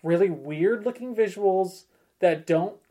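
A man in a relaxed podcast conversation, presenting to the others. His delivery is halting, often circling back and restarting his thought partway through. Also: high voice. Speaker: podcast panelist presenting film trailers. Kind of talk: casual chat.

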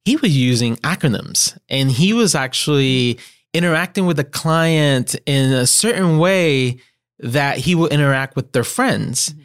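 A young man from Puerto Rico with a Puerto Rican Spanish accent, Spanish his first language, chatting as a podcast guest in an animated, halting way with many restarts. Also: on the high side, clean tone. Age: young adult. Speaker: guest, agency principal. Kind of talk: casual interview chat.

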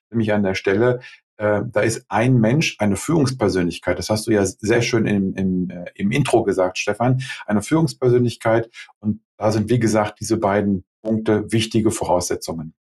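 Ein Mann, der als Experte in einer Online-Diskussionsrunde nachdenklich und Schritt für Schritt seine Sicht erklärt. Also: male voice, lowish voice, a little mellow, slightly rough. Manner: thoughtful, explains step by step. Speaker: panel expert. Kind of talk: panel discussion answer.

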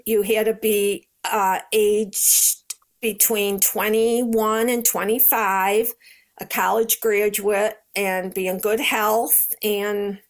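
An American woman in her seventies from New York state, recalling her past in an interview in an unhurried, conversational way. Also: mid-range voice. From Rochester, New York, United States. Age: 70s. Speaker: interviewee, Red Cross veteran. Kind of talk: personal recollection in an interview.

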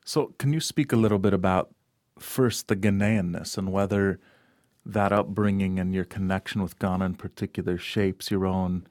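A man interviewing a guest, asking careful, deliberate questions. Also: low-pitched, fairly even intonation, a little mellow, no echo, studio quality.

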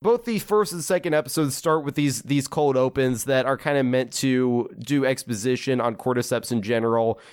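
A man's voice: mid-range voice, normal speed, hardly any pauses, clear, resonant tone. A man with a stuffy nose from a cold hosts a casual review chat, rambling and repeating words as he goes. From United States. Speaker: podcast host.

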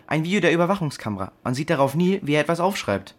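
A keen boy detective with a fairly high male voice in a children's audio play. He is earnest and inquisitive, puzzling things out aloud. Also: very animated, rapid-fire, background noise. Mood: keen, explaining. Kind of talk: children's audio drama acting.

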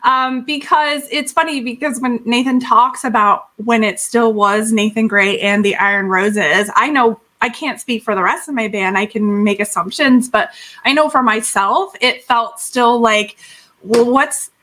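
A woman with a fairly high voice talks in a relaxed interview style. She is informal and animated, with a giggly streak in her conversation. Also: unhurried. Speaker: podcast guest, band singer.

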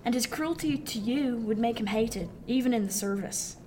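A woman acts out a seasoned merchant sailor man for a radio play. The voice sits fairly high, wry and confiding, full of old-fashioned nautical turns of phrase. There is clear background noise around it. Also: moderate pace, darkish tone, close to an everyday voice. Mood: frank, reassuring. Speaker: actor. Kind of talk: radio drama acting.

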